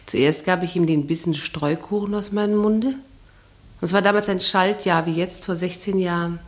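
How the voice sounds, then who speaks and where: An actress playing a sensual, dreamy, plain-spoken wife who muses to herself in a German radio drama monologue. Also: female voice, lowish voice, fairly even intonation, normal speed, close to an everyday voice. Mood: musing. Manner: musing, intimate, talking to herself.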